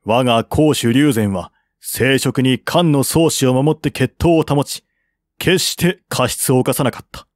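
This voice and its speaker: A man's voice: medium pitch, a little slow, no echo, lightly acted. Loyal military adviser read by a man, stern, declarative, mid-range voice, dry recording, historical audiobook. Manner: firm, formal, declarative.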